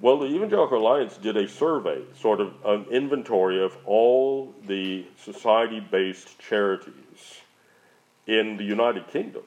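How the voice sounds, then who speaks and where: Low-voiced man, rambling earnest talk. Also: a little mellow. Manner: rambling, frequent restarts. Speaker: missionary speaker. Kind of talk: answering audience questions. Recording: dull lo-fi audio.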